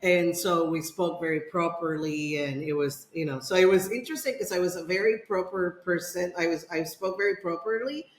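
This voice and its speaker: A woman's voice: on the low side, moderate pace, hardly any pauses. Middle-aged woman talking in an echoey room. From Dominican Republic. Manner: rambling, many fillers and restarts. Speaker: podcast guest. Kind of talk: casual personal storytelling.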